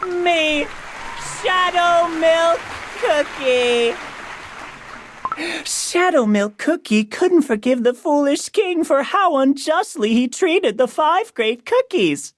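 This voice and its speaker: A woman's voice: high-pitched, expressive, slow pace, some chatter behind. A woman playing a vain, theatrical trickster villain cookie in a game, narrating pompously and teasingly as if hosting a grand stage show. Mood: gloating. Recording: music behind the voice.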